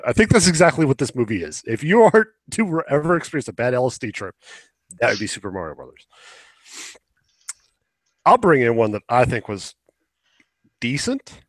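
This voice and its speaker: A man with a mid-pitched voice chats casually as a podcast co-host. He is informal, blunt and opinionated, recorded dry with very little room sound. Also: sing-song, moderate pace, pauses often, no room sound. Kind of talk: casual chat and commentary.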